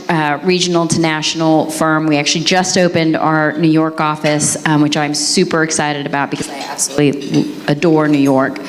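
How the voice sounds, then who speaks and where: A woman in her forties introducing herself on a conference panel, chatty with many ums and restarts, speaking into a handheld mic in a large room. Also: low-pitched. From England. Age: 40s. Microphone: handheld microphone. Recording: long hall reverb, faint hiss.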